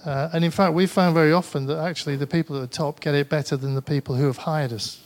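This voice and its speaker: A man's voice: a little high, fairly even intonation, fast talker. A man with a Mancunian English accent gives a tired, conversational conference talk and answers audience questions, often hesitating and restarting. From Manchester, England. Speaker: conference speaker. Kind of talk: presentation with audience Q&A.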